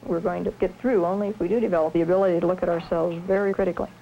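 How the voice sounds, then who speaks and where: A woman surgeon speaking in a measured, articulate way in a reflective documentary interview. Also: on the low side, steady tone, vocal fry, mellow, low-quality recording. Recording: 1970s film sound with faint noise.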